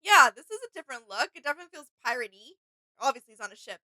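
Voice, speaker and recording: High, bright-voiced woman chatting casually. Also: very animated, very fast, no room sound. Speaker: reaction video co-host. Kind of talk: casual chat.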